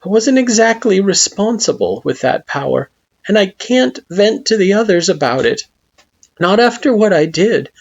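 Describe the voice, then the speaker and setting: A woman voicing an earnest young hero who speaks in a soft, sincere way. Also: medium pitch, sing-song, moderate pace, muffled recording, voice pushed up.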